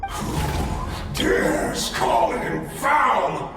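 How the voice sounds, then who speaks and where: An actor playing a proud, power-hungry male villain in a video game, with strained, halting, menacing delivery. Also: medium pitch, huge pitch swings, raised voice, full character voice. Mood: outraged. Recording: music playing behind the voice.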